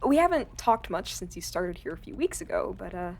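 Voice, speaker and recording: A woman's voice: mid-range voice, big pitch swings, brisk, creaky, darkish tone. A woman playing a friendly, chatty office coworker in a cyberpunk audio roleplay. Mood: friendly, breezy.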